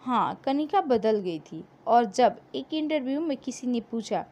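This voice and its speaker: Female narrator, high voice with very lively intonation, dramatic scripted storytelling, emotive and measured with pauses.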